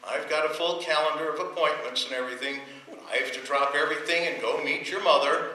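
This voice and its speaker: A man's voice: a little high, steady tone, fast talker, sharp tone. A man playing a wry, put-upon, grumbling husband who narrates an old-time radio comedy. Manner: dry, wisecracking, grumbling. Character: wry, put-upon husband telling his domestic troubles. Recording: echoey room, distant mic, faint noise.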